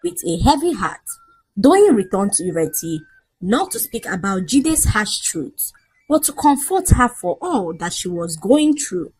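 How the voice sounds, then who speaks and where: A woman storyteller reads a scripted folktale with a very lively, swooping intonation. Her voice sits at a medium pitch and has a husky edge. The delivery is dramatic narration. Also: unhurried, low-quality recording.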